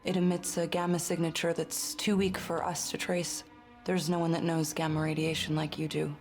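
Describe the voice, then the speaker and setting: Lowish-voiced, cool secret agent. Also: female voice, little pitch movement, moderate pace, over music. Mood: cool, businesslike. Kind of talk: video game cutscene dialogue.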